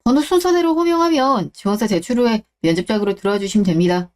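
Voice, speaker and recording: A woman's voice: medium pitch, fast, a little mellow, slight background noise, natural voice. A woman voicing a polite company representative in a radio drama, giving a formal announcement to applicants. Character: polite company host addressing job applicants.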